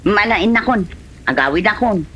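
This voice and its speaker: A woman's voice: medium pitch, expressive, moderate pace, fairly bright tone. A woman with a Filipino accent playing a stubbornly single, exasperated village farm woman in a comedy drama. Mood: dismissive. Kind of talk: comedy radio-style drama acting.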